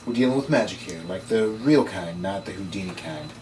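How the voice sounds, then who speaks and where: A man's voice: low voice, lively intonation, a little fast, a little mellow. A man playing a dry, world-weary occult investigator in a noir script table read. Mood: dry, knowing. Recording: distant mic, noisy room.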